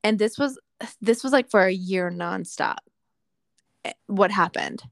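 A woman's voice: mid-range voice, unhurried, vocal fry, dry recording, studio quality. A woman in her late thirties chatting openly and with self-deprecating humor, with lots of fillers. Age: 30s. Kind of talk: casual chat.

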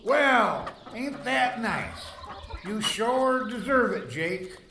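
A man's voice: extremely high pitch, expressive, very slow, vocal fry, roomy sound, noisy background, full character voice. A man playing a friendly, folksy old stablehand with a Western American drawl in a radio western. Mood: friendly, chatty. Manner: folksy drawling cowboy talk.

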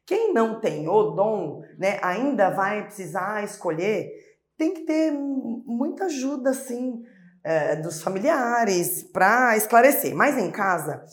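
A middle-aged woman, a mother being interviewed, talks warmly and animatedly, acting out quoted voices as she tells her story. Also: medium pitch, sing-song, slow. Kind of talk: conversational interview. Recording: echoey room.

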